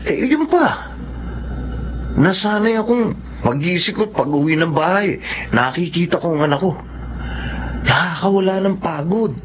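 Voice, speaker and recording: Radio drama casting: stubborn, earnest Filipino young dad, high-pitched male voice, slightly noisy lo-fi track. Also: expressive, unhurried, pauses often. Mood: earnest, longing. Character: earnest, stubborn young father and office worker. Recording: dull lo-fi audio with faint noise.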